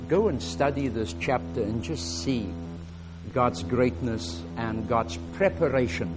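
A man with a South African accent, whose first language is Afrikaans, preaches at an unhurried pace with many pauses and fillers. His voice sits at a middle pitch, and soft instrumental music plays faintly behind him. When he reads scripture he grows louder and more deliberate. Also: poor audio. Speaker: guest preacher. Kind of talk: sermon with scripture reading.

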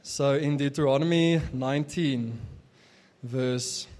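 A man's voice: medium pitch, slow, darkish tone. A young adult man whose first language is Afrikaans, preaching a casual sermon as pastor, with many fillers and restarts.

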